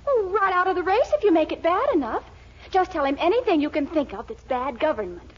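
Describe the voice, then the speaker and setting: Exasperated young woman, extremely high voice, lively swoops, urgent, emphatic, muffled old radio sitcom. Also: brisk. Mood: scheming. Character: exasperated, scheming young woman, candidate's companion. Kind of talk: 1940s radio situation comedy acting. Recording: muffled 1940s radio recording.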